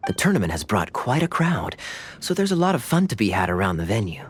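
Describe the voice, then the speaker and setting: A man's voice: on the low side, very animated, fairly quick, slight background noise. A man voicing a laid-back, teasing knight captain in a video game. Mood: amused.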